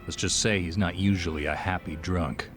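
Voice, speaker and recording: An actor playing an easygoing middle-aged bartender with a knowing, gruff manner, in a video game dub. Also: male voice, low-pitched, fairly even intonation, moderate pace, natural voice. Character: laid-back middle-aged male bartender. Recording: game cutscene with music behind.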